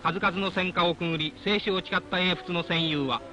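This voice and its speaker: A man's voice: sits high, steady tone, moderate pace, rough recording. A man narrating a 1940s wartime newsreel in a formal, declamatory and solemn dramatic style, on an old film soundtrack. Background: loud music.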